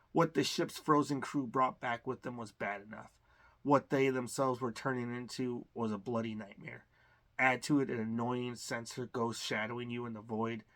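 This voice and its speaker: A man's voice: mid-range voice, a bit bright. A man casually talking through a game unboxing, rambling with lots of fillers and restarts. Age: adult. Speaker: collector unboxing games.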